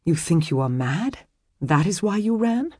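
A woman's voice: on the low side, big pitch swings, a little slow, a little mellow, slightly rough, no echo, studio quality, full character voice. A woman voicing a protective, earnest medieval nobleman in a historical romance audiobook, with formal, archaic phrasing. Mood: bewildered.

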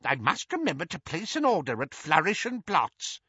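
Storybook casting: ancient witch great-aunt played by a man, screechy high voice, blunt, tipsy, gleefully malicious gossip, wildly swooping delivery. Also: wildly swooping pitch, moderate pace, pushed and bright, slightly rough, no room sound, highs cut off, exaggerated voice. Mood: gossipy, needling. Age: over 100. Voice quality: shrill, cackling, screechy.

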